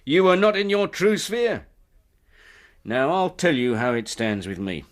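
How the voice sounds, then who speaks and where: An actor in a radio drama playing a genial, persuasive company recruiter, effusive and patronising. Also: male voice, on the high side, sing-song, measured pace. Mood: boastful.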